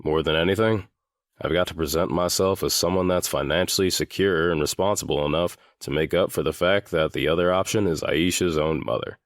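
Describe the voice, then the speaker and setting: Guy acting as a guarded older teen boy, really low voice, matter of fact, dry close sound, audiobook drama. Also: dry recording. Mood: frank, determined. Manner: deliberate, matter-of-fact, swears now and then. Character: guarded seventeen-year-old boy recounting his hard past. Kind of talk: full-cast audiobook character acting.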